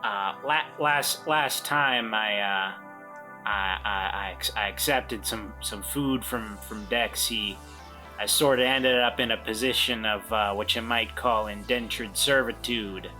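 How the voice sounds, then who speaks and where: A timid diner server, played by a man, stammers and corrects himself in a bright, forward voice. He is eager to please and halting, in an improvised tabletop game with music underneath. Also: lowish voice. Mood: hesitant, confessional. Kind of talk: improvised tabletop role-play.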